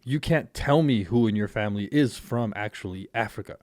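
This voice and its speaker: A man who was born in Armenia, hosting a podcast and chatting casually in a blunt, profane, animated way. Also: lowish voice, a little fast, dry recording, studio quality. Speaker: podcast host. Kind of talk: casual chat.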